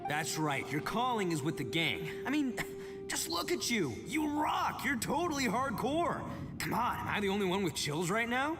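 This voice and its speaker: A man's voice: high voice, big pitch swings, normal speed. A boisterous young gang boss from a video game. His slangy bravado swoops up and down dramatically. Music plays behind the reverberant voice. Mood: excited, cheering. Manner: hyped, slangy, loud bravado. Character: brash, boisterous, big-hearted young male gang boss.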